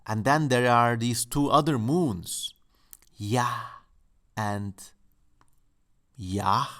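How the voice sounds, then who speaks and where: A man speaking English hosts his channel from home, his voice sitting at an ordinary middle pitch. He muses along casually and playfully, with a tongue-in-cheek, joking manner. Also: extremely slow, a bit bright. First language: Turkish. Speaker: channel host. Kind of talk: casual exploratory commentary.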